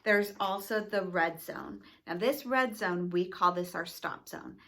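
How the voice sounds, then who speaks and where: A woman's voice: lowish voice, measured pace, sharp tone. A woman educator explaining things to families and children in simple, encouraging, teacherly phrases. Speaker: school educator.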